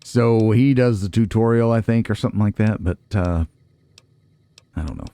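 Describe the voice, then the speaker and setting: Male let's play host: low, dark tone, folksy, chatty, thinking aloud as he plays. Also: normal speed, no echo. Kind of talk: casual gameplay commentary.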